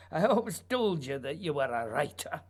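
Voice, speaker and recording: A man's voice: fairly high pitch, big pitch swings, moderate pace, slightly rough. An actor playing a lonely, remorseful playwright mourning his lost friend, in a theatrical, sighing radio drama monologue. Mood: overcome, fervent.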